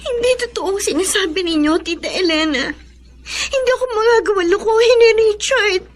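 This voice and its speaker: Very high-pitched, tearful Filipina heiress. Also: female voice, brisk. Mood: anguished, tearful. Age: young adult. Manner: tearful, melodramatic. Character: distraught young blind heiress, easily wounded. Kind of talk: Filipino radio drama acting.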